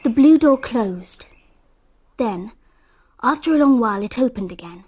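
A woman playing a thoughtful young girl, measured and earnest as she tells a radio drama story. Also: on the high side, animated, a little mellow, slightly rough. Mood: reflective. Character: thoughtful young English girl recounting a summer. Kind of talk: radio drama narration and acting. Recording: faint background noise.

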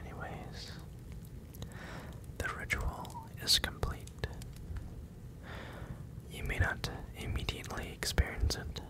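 A performer voicing a calm, commanding male werewolf in a whispered ASMR roleplay, with deliberate, formal phrasing. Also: close to an everyday voice, low-quality recording. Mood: calm, soothing. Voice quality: breathy whisper.

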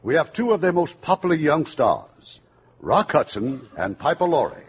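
A man's voice: a little high, big pitch swings, moderate pace, slight background noise. An American man hosting a scripted 1950s radio show. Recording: muffled 1950s radio recording.

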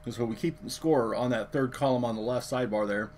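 A man with a hoarse, worn voice hosting a live card-opening stream, chatting loosely with viewers and using many fillers. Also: medium pitch, a little fast, a little hiss or hum. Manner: relaxed, filler-heavy, talks to chat viewers. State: hoarse, lost his voice. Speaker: card break host.

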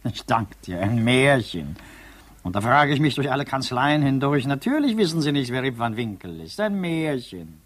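An actor playing a brisk, ironic public prosecutor with an authoritative, urbane manner in a radio drama. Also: male voice, mid-range voice, very animated. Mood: exasperated irony.

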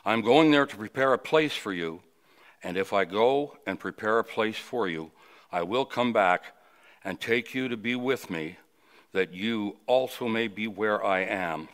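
A man of sixty-nine from Canada delivering a funeral sermon at a lectern, reflective and halting, with personal reminiscence. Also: lowish voice, fairly bright tone. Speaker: funeral officiant, preacher. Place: indoors at a funeral home, at a wooden lectern. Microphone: lectern microphone.

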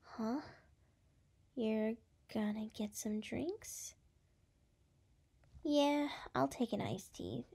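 Woman acting a slow, breathy, brash young gal. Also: fairly high pitch, mellow, heavily acted. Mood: exasperated. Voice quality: breathy, often dropping into whispers. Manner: halting, teasing, exasperated. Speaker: voice actress. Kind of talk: ASMR roleplay.

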